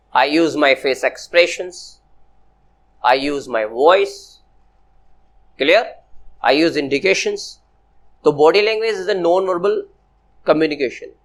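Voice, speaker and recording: 男性教师，印度英语口音，在教室里讲课，声音偏高. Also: male voice, on the high side. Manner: slow, repetitive, often asks "Clear?". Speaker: personality development teacher. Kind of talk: classroom lecture to students.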